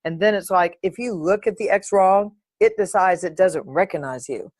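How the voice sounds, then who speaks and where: A woman hosting her own podcast, talking in a playful, animated and uninhibited way, close to the mic. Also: fairly low pitch, normal speed, dry recording. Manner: playful, animated, sings and makes silly sounds; uninhibited, swears. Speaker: podcast host. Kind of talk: solo podcast chat.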